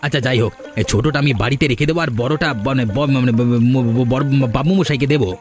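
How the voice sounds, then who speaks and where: A man voicing a scheming, bossy village man in a cartoon, with an excitable, hectoring delivery. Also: mid-range voice, fast, soft background music, natural voice. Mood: excited, bossy. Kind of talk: Bengali cartoon voice acting.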